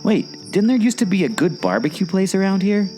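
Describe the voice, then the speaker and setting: A man's voice: high voice, very animated, a little fast, mellow, faint music behind. A man playing a naive, curious sidekick with a taste for corny jokes in a scripted audio drama. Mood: curious, surprised. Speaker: curious companion.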